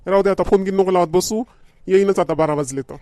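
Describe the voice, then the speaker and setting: A man's voice: sits high, quick pace, mellow, no echo, close to an everyday voice. A man voicing a fussy middle-aged village father in a cartoon comedy, with a rural Maharashtrian accent and nagging manner. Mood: impatient, hurried. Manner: nagging, repetitive urging. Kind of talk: homemade cartoon comedy dialogue.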